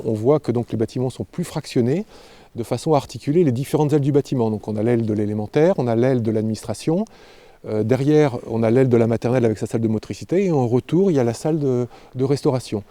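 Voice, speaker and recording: A man giving a spontaneous guided explanation as an architect, halting and often repeating words as he goes. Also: medium pitch, mellow, no echo. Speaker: architect presenting a building project.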